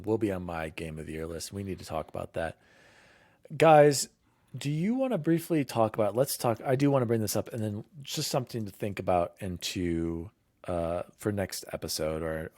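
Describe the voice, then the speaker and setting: A man's voice: low voice, darkish tone, no room sound. A man hosting a casual gaming podcast, talking loosely and laughing easily. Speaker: podcast host.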